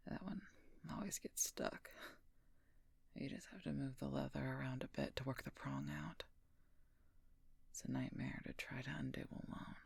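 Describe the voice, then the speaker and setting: Woman as female werewolf knight, low voice, heavy gravelly fry, breathy, gruff, teasing, slow, close-up intimate audio drama. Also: dry recording, full character voice. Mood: weary, dry. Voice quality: breathy, husky, gravelly whisper. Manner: slow, dry, teasing, addresses listener as Highness. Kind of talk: intimate whispered audio roleplay. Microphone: close microphone, dry.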